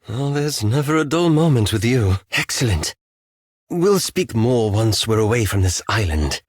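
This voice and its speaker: Courteous bookish adventurer, lively melodic range, close dry sound, formal diction, cautious, video game dialogue. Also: male voice, lowish voice, no room sound. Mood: guarded, polite. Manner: formal, measured, polite, hesitant diction.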